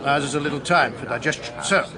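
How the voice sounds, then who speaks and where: An actor playing a pompous, exasperated British bank governor in a radio drama, formal and indignant in manner. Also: male voice, a little high, fast talker, a crowd in the background, close to an everyday voice.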